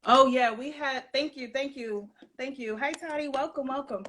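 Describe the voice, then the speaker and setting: A woman's voice: high-pitched, normal speed, fairly bright tone. A woman from New York hosting a casual live-stream chat, affirming her guest and greeting viewers, with many fillers. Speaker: host.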